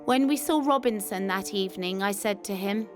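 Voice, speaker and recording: A woman's voice: mid-range voice, normal speed. A woman reading a scripted first-person wartime memoir as a narrator. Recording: music behind the voice. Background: music.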